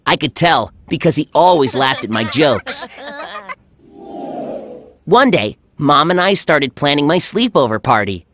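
A performer playing an earnest, friendly schoolboy who narrates a children's animated story in a measured, clearly enunciated way. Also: mid-range voice. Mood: earnest storytelling.

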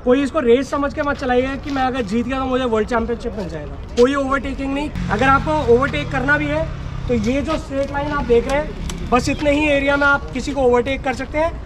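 High-voiced man giving a safety briefing. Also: brisk. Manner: instructive, repeatedly checks with the group. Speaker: event instructor. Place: outdoors at a go-kart track. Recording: outdoor recording with traffic noise.

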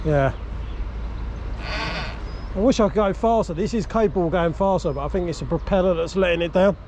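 A man in his forties, an excitable vlogger talking on a river while riding. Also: sits high. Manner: excitable, laughing, thinking aloud. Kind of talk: excited outdoor commentary. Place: outdoors on a river. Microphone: action camera. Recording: loud outdoor noise. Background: rushing water and outdoor noise.

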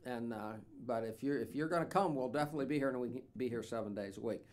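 A man in his sixties with a drawl talks through a guitar for sale in an easy, meandering way. He fills pauses with plenty of "uh"s and restarts. He is recorded seated in a small, dim studio on a stand mic. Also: mid-range voice. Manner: relaxed, rambling with many fillers. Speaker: instrument dealer. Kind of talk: casual product demo.

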